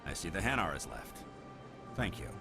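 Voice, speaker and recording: A man's voice: low voice, very animated, moderate pace, gravelly, over music, heavily acted. A man playing a stern, officious alien security officer in a video game, formal and by the book. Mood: businesslike gratitude. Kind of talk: game voice acting.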